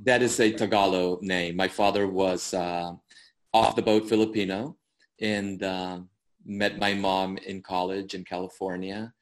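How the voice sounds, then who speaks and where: A man from El Salvador, a guest court interpreter, telling stories in a relaxed interview with fillers and chuckles. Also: deep voice, measured pace. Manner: chatty storyteller with fillers and chuckles. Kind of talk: casual interview talk.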